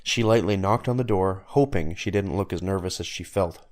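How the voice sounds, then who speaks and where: A man's voice: low voice, normal speed, a little mellow. A man reading scripted story narration as the narrator.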